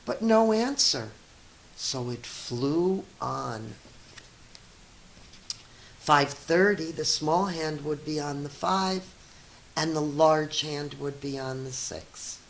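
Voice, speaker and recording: A woman reading a children's story aloud as a teacher, expressive and encouraging, with teaching asides and questions for young listeners. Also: deep voice, slow pace, vocal fry, pauses often, a little hiss or hum, low-quality recording.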